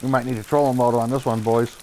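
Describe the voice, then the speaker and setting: A young man with a Boston accent, chatting casually as he streams a fishing game, getting excited at each good catch and laughing often. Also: medium pitch, fast talker, slight background noise. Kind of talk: casual live gameplay commentary.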